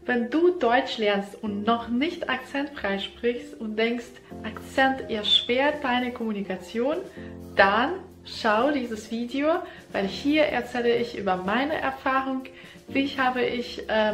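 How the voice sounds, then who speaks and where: A woman's voice: on the high side, slow, echoey room, over music. Young woman, non-native German speaker. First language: not German. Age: young adult. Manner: earnest, slightly non-native phrasing, speaks with hands. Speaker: German teacher vlogger. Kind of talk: casual talk to camera. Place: small room, indoors. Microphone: camera in front, head-and-shoulders framing.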